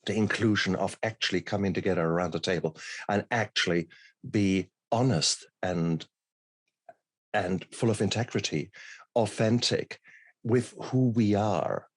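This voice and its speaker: A man's voice: fairly low pitch, a little slow, no room sound. A man who grew up in Germany, hosting an interview in a casual, hesitant way and often repeating his words. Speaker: podcast host, doctor.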